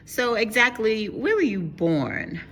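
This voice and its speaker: A woman's voice: fairly high pitch, very animated, takes it slowly, fairly bright tone, slight background noise. A woman hosting an interview show, asking direct, curious questions. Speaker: interview host.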